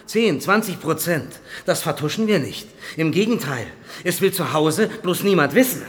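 Actor as a sardonic army colonel, long reverb. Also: male voice, a little high, animated, moderate pace, close to an everyday voice. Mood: bitter, heated. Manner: sardonic, clipped official phrasing. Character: defensive, cynical army colonel, stern and sardonic. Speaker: actor in a radio drama. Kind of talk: German radio drama dialogue.

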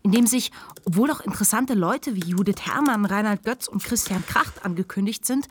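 An actress playing the brooding, searching inner voice of a troubled writer in a measured literary radio play narration. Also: female voice, mid-range voice, animated, a little hiss or hum, natural voice. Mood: reflective.

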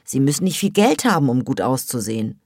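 A woman's voice: low-pitched, lively intonation, takes it slowly, a little mellow, no room sound, studio quality, exaggerated voice. Woman voicing a scornful teenage girl, mocking. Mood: mocking gossip. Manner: mocking, gossipy, scolding. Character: scornful teenage girl, sharp-tongued smoker.

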